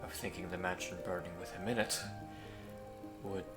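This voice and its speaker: A man playing a courteous, earnest young Victorian gentleman hero in a scripted audio roleplay, with formal, hesitant phrasing. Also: low voice, steady tone, moderate pace, over loud music, close to an everyday voice. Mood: grave, sorrowful.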